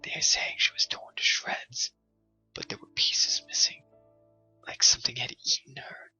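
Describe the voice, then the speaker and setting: A man's voice: moderate pace, dull audio, faint music behind. A man voicing a secretive woman who whispers grim news. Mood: hushed. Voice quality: hushed whisper.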